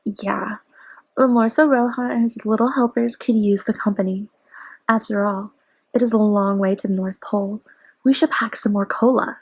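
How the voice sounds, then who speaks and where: A woman acts a sardonic radio drama companion with a husky edge. She has a scolding manner and a medium-pitched voice. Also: fairly even intonation, normal speed, a little mellow, rough recording. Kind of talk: amateur radio drama acting.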